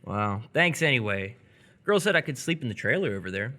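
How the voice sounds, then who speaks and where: A wary, curious teenage boy, acted by a man in a live radio play. His pitch moves around a lot, and he sounds like an ordinary kid out on his own. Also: very animated, fairly quick, bright, forceful tone. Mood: curious, casual. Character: 17-year-old boy cyclist, curious, wary, out alone. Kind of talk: live radio theatre acting.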